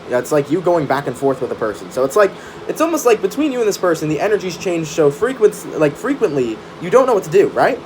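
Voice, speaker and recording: A man's voice: a little high, quick pace. A man giving a casual, chatty live-streamed tarot reading, full of fillers and "right?" tag questions. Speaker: tarot reader. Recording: steady background noise.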